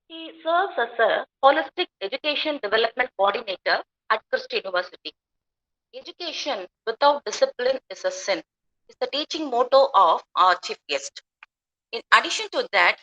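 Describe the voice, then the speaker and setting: A woman reads a formal guest introduction in a measured, respectful way. Her voice is bright and a little high, coming through a video call connection. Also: poor audio. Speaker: assistant professor introducing the chief guest.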